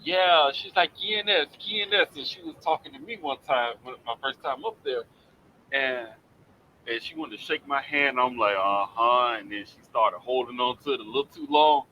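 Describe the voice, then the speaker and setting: A man's voice: mid-range voice, normal speed, sharp tone, slight background noise, low-quality recording. A man in a casual group chat, telling loose stories full of restarts. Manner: loose storyteller, lots of "like" and restarts.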